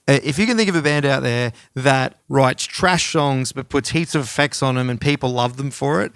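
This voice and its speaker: A man's voice: mid-range voice, normal speed, a bit bright, smooth, no echo, clean studio sound. A man co-hosting a music podcast, chatting casually with informal, sweary enthusiasm.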